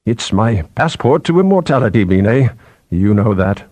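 A man's voice: lowish voice, sing-song, moderate pace, darkish tone, no room sound. Man voicing a stern, commanding old scientist. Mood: reflective, frank. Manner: ominous, emphatic. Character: stern old male head scientist, commanding, austere, exasperated.

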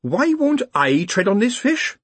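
Man putting on a fussy, high-pitched wizard professor. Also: big pitch swings, unhurried, slightly rough, dry recording, exaggerated voice. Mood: querulous. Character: fussy middle-aged wizard professor, doubtful and easily offended.

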